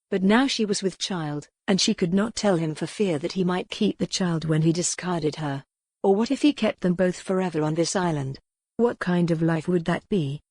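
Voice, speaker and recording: A woman reading scripted romance fiction as an audiobook narrator in a deliberate storytelling manner. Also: on the low side, darkish tone, dry recording.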